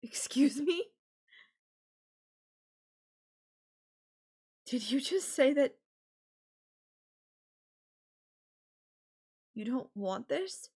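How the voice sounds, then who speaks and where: A woman's voice: high-pitched, expressive, darkish tone. A woman playing a possessive, sarcastic and menacing yandere girlfriend in an audio roleplay, talking with sweet condescension. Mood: mocking, angry. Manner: slow, sarcastic, sweetly condescending with veiled threats. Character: possessive, menacing yandere girlfriend holding her partner captive. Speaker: voice actor.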